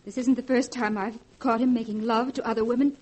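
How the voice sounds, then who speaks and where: A woman's voice: a little high, fairly even intonation, a little fast, darkish tone, slightly rough. An actress playing a bitter, wounded young woman with controlled reproach, in 1940s radio drama style. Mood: bitter, resigned.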